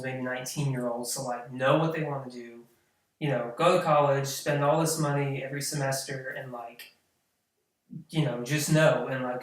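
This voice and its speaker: Easygoing thirty-year-old man, echoey room. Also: mid-range voice. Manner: easygoing, chatty, lots of "like" and "you know". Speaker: podcast guest, drummer. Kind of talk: casual chat. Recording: echoey room, mic far off.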